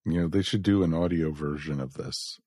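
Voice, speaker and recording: A man's voice: rumbling low, a little fast, darkish tone, slightly rough, no echo, clean studio sound. A man chatting casually and agreeably as a panelist on a review podcast.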